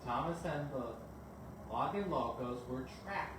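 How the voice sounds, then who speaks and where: A man's voice: mid-range voice, lively intonation, a little slow. A man reading a children's bedtime story aloud as a storyteller. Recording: very echoey, distant mic, noisy background.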